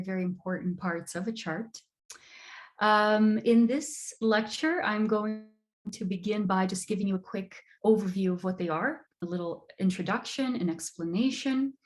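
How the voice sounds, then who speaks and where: A woman from Brazil giving an online astrology lecture, measured and emphatic, repeating words for stress. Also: mid-range voice.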